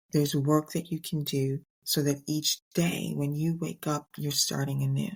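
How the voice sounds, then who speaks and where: A middle-aged woman hosting a motivational podcast on her own, speaking earnestly and emphatically in short, deliberate phrases. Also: low voice, gravelly. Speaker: podcast host. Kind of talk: motivational solo podcast talk.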